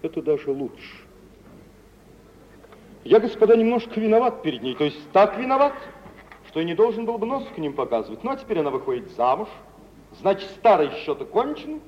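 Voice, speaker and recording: Lively, imperious gentleman reveller, dull recording. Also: high-pitched, a little hiss or hum. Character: carefree, imperious gentleman, a dashing spendthrift reveller. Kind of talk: mid-century theatrical radio drama acting. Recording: dull old 1940s-50s radio recording.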